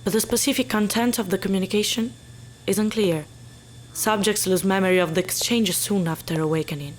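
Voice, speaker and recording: An actress playing a composed, inquisitive research scientist in an audio drama, precise and clinical. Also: female voice, medium pitch, a little fast, a little hiss or hum, close to an everyday voice, poor audio. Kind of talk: naturalistic audio drama acting.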